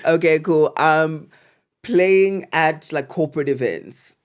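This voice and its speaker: A South African man co-hosts a casual chat show. His voice is a little high, and he talks in a chatty, slangy style. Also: takes it slowly. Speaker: podcast co-host.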